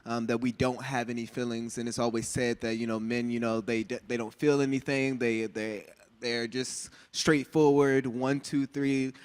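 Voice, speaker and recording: A man answering panel questions in a measured, thoughtful way, often pausing with "um". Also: medium pitch, level tone, clean tone. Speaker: relationship panel guest.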